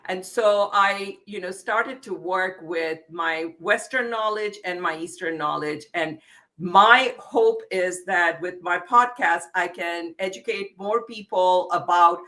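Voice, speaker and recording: A woman's voice: on the low side, slow pace, sharp tone. A middle-aged woman from India with an Indian accent, a psychotherapist explaining her work earnestly as a guest. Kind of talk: interview conversation.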